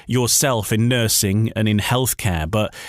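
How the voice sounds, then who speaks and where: A man's voice: fairly low pitch, a little slow, dry recording, clean studio sound. A man hosting a conversational radio interview, politely leading into his questions at some length.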